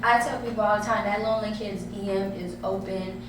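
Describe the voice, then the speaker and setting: A woman's voice: mid-range voice, fairly even intonation, fairly quick. A woman of thirty, a guest artist giving earnest advice in a casual chat. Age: 30s. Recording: echoey room, far from mic, noisy.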